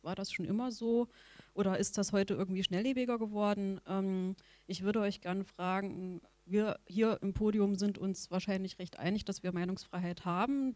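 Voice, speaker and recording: A woman's voice: mid-range voice, darkish tone, dry recording. A woman moderating a panel discussion, friendly, with some fillers. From Halle, Germany.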